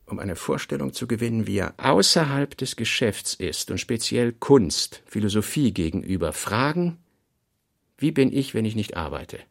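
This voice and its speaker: A man reading scripted literary notes for a radio play, in measured, fragmentary phrases. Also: lowish voice, lively intonation, creaky. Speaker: reader of a writer's working notes.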